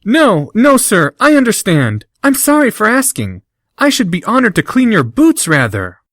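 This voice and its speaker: A young schoolboy pours out flowery, exaggerated flattery in a voice pitched far up, as a man puts him on. The voice swings widely and sounds close and dry, with no room around it. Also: normal speed, no room sound, full character voice. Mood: mock-groveling. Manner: over-the-top flattery in a high voice. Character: young boy, mock-groveling, theatrically flowery.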